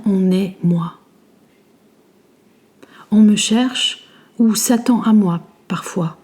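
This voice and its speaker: A woman recites literary verse slowly and with care. Her voice is soft and mellow, hushed and breathy, often sinking toward a whisper, with measured pauses between phrases. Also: medium pitch. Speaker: poet reciting an ecological poem. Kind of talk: slow poetry recitation in literary French.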